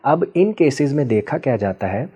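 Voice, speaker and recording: Male expert guest, warm low-key timbre, teacherly and patient, code-switches into English. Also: animated, normal speed, breathy edge, faint music behind. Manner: patient teacherly explaining with frequent checking questions; mixes Hindi with English terms. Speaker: guest expert on ancestral healing. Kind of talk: explanatory interview answers.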